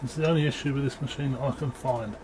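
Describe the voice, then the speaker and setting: A man giving a casual, unscripted equipment demonstration in a matter-of-fact, halting way. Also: mid-range voice, fairly even intonation. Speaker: presenter demonstrating audio equipment. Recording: clearly noisy amateur room recording.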